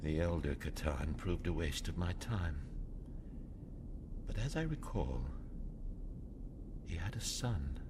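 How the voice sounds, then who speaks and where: A man playing a cold, imperious villain commander with calm, deliberate menace in an audio drama. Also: low-pitched, noisy background.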